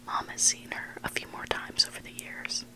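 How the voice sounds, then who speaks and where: An ASMR host whispering scary stories in a hushed, unvoiced voice, close to the microphone. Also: normal speed. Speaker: ASMR host reading listener-submitted stories. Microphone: close microphone made for headphone listening.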